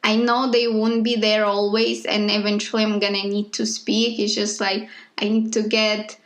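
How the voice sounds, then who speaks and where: A woman's voice: mid-range voice, level tone, normal speed. A young woman from Slovenia, a native Slovenian speaker, co-hosting a podcast in hesitant, casual chat. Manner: hesitant, laughs often. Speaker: podcast co-host.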